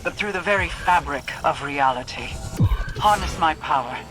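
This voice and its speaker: A woman's voice: sits low, animated, moderate pace, poor audio. An actress playing a solemn, commanding mystic witch who speaks formally and portentously in a fantasy video game. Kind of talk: cinematic game voice acting. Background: loud music.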